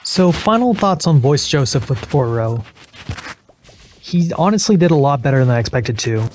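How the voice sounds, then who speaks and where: Male gaming video creator, medium pitch, informal and slightly hesitant, casual guide-style commentary. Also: sing-song, moderate pace, a little mellow, slight background noise.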